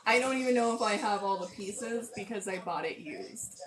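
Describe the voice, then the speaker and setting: A hoarse, tired-sounding woman hosting a casual livestream, rambling and thinking aloud as she sets up. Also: mid-range voice, moderate pace, heavy background noise. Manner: rambling, self-deprecating, thinks aloud while sorting pieces. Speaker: livestream host. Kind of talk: casual livestream chat while setting up a build.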